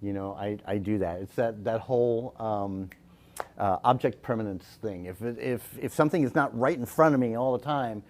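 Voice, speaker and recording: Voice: mid-range voice, normal speed, darkish tone, slight background noise. Chatty radio host. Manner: agreeable, chatty, laughing. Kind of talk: casual radio chat.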